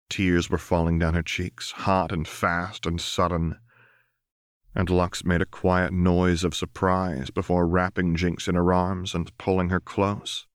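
A man narrating scripted fiction as an audiobook reader, in a soft, measured, solemn manner. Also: low voice, no echo, studio quality.

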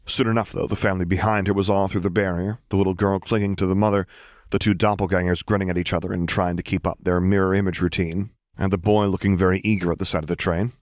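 Man, audiobook narration, measured delivery, very low voice, dry sound. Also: little pitch movement, a little mellow, no echo. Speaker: audiobook reader.

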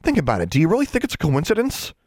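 A man voicing a headstrong schoolgirl witch who is quick to argue, in an audiobook. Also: mid-range voice, expressive, no room sound, clean studio sound, voice pushed up, lightly acted. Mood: insistent. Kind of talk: audiobook character voice.

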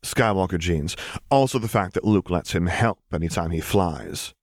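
A man voicing a wry, teasing, brisk young mother who works as a pilot in a story reading. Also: deep voice, dry recording, studio quality. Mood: wry, conspiratorial.

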